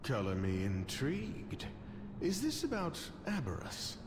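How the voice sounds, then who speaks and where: A lively, haughty dragon prince. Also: male voice, medium pitch, measured pace, big-room sound, background noise. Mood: wry, guarded. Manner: haughty, theatrical, wry. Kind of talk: video game character acting.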